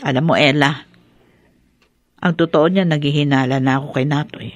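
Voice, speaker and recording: A woman playing an older, suspicious, gossipy mother with a husky voice in a radio drama. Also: deep voice, fairly even intonation, moderate pace, dull audio, close to an everyday voice. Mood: doubtful, suspicious.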